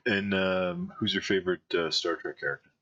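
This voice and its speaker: A man hosting an interview show, upbeat and asking quick questions. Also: low voice, steady tone, a bit bright. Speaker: podcast host. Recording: dull lo-fi audio.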